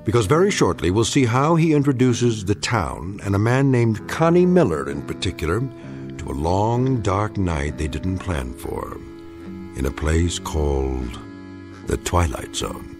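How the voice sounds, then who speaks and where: A man narrating a scripted radio anthology in a measured, portentous manner. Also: sits low, very animated, over music.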